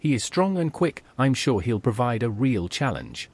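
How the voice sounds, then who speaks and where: A man's voice: mid-range voice, sing-song, moderate pace, darkish tone, breathy edge. A man's computer-generated voice speaking plain, matter-of-fact game dialogue. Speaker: player character.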